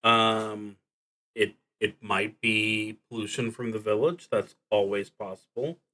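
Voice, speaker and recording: A man thinking aloud as he plays a solo tabletop roleplaying game on a stream, talking in a deliberate, halting way with dry asides. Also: on the low side, steady tone, sharp tone. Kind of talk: live play narration, thinking aloud.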